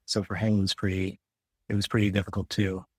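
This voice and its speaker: Low-voiced American man, dry close sound. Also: little pitch movement, fast talker, breathy edge, no room sound. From Detroit area, Michigan, United States. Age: 40s. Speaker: podcast guest. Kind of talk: casual interview conversation.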